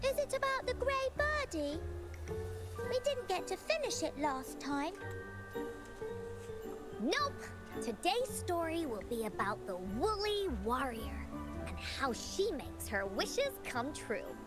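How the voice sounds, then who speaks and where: High, lilting game storyteller. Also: female voice, exaggerated voice. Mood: storytelling. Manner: expressive, measured storytelling. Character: gentle female storyteller addressing young children. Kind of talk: storybook narration in a video game. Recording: loud music under game audio.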